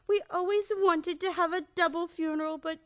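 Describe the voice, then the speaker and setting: A woman's voice: extremely high pitch, fairly even intonation, moderate pace. A woman playing a sweet, prim, dithering elderly aunt in a radio play. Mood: fretful.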